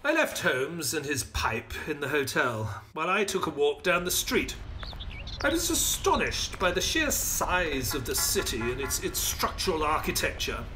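A man playing a courteous, excitable gentleman sidekick who narrates an audio play in an expressive, polite way. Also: fairly high pitch, normal speed, music in the background, poor audio. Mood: measured storytelling. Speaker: actor and narrator.

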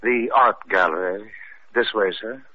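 An actor playing a prim, disapproving old butler in an old radio drama, speaking in a formal, stiff, deferential way. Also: male voice, lowish voice, measured pace, very noisy, natural voice. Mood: formally polite. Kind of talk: old-time radio acting. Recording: tinny, phone-like old radio recording.

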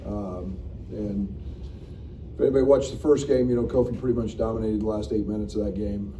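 A middle-aged man, a basketball coach, answering questions at a postgame press conference in a frank, halting way with many fillers. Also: fairly low pitch, fairly even intonation, mellow, far from the mic. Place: press room at a table. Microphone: table mic, recorded from the room. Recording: echoey room, picked up from afar, noisy.

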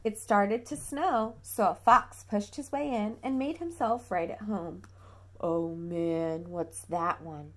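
Woman in her 30s reading a kids book at home, dramatic and slow, asks the little one simple questions. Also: medium pitch, a bit bright. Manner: dramatic, childlike questioning and prompting. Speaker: parent reading a bedtime story to a toddler. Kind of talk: expressive picture-book read-aloud. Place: small quiet room at home.

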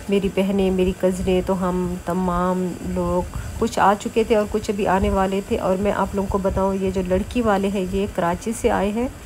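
A middle-aged woman, chatting through a vlog voice-over with a sore, hoarse throat, coughing now and then and pausing often. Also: mid-range voice, darkish tone, slight background noise, rough recording. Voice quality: coughs between phrases. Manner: chatty, explanatory, with many pauses and fillers. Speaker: vlogger.

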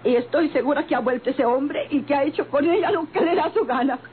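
A woman's voice: sits high, fairly quick, natural voice. An actress playing a stern, bitter motel-owning mother in an old radio soap, blunt and melodramatic, with a northern Mexican accent. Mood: furious, bitter. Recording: tinny, noisy vintage radio recording.

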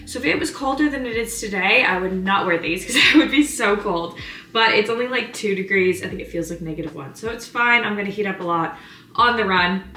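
A woman's voice: mid-range voice, fairly quick, pushed and bright. Young female fitness vlogger, chatty and upbeat, filmed from a few feet off in a roomy apartment. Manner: chatty, upbeat, lots of "like" fillers. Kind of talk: casual vlog chat to camera. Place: home kitchen and living room. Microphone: camera on counter, speaking from a few feet away. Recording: roomy apartment sound with music underneath.

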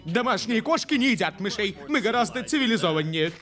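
A fussy, pompous male cartoon animal, with a high voice. He grumbles theatrically while music plays behind him. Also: sing-song, a little fast. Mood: grumbling. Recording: music under the voice.

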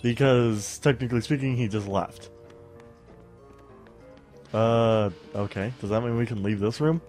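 A man's voice: medium pitch, unhurried, a little mellow. A young man with a somewhat stuffy voice hosts a casual let's play, rambling and getting distracted, with lots of fillers and bits of humming. He is on a studio mic at home, with faint game music behind him. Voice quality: stuffy-sounding. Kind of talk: casual gameplay commentary.

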